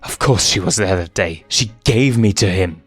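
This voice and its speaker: A man's voice: low voice, moderate pace, fairly bright tone, dry recording, soft background music. A man voicing an earnest, conflicted young male lion with a stammering, questioning, pleading manner in a story reading. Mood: confused, hurt.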